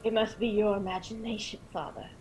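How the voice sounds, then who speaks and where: A woman's voice: mid-range voice, little pitch movement, a little fast, darkish tone, close to an everyday voice, poor audio. An actress playing a practical, fussing middle-aged mother in a radio drama. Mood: motherly, practical. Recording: faint background noise and ambience.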